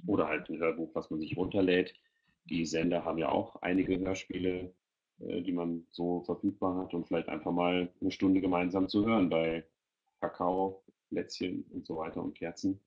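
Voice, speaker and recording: A man's voice: sits low, level tone, normal speed. A middle-aged man giving casual, spontaneous explanations as a panellist in an online discussion over a headset. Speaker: expert panellist. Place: indoor room, video call. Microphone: headset on video call. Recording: dull, lo-fi video-call audio.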